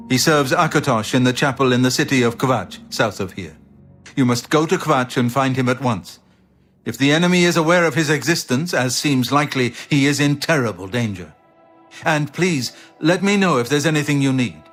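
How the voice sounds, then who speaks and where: A man plays a grave, loyal former captain of royal bodyguards in a fantasy game. He explains things solemnly in a measured, mid-pitched voice, with music playing behind him. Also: lively intonation, fairly bright tone, natural voice. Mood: earnest, urging. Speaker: video game character. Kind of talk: scripted fantasy game dialogue.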